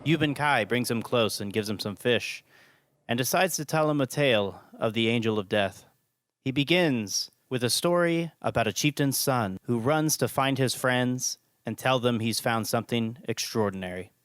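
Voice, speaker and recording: A man's voice: medium pitch, moderate pace, fairly bright tone, no echo, clean studio sound. A man hosting and narrating a scripted audio drama podcast.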